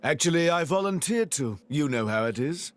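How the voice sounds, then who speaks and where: A man's voice: on the high side, expressive, moderate pace, natural voice. A man voicing a cocky, flamboyant crown prince and swordsman in a video game, boastful, teasing and theatrical. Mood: breezy. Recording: loud music under dialogue.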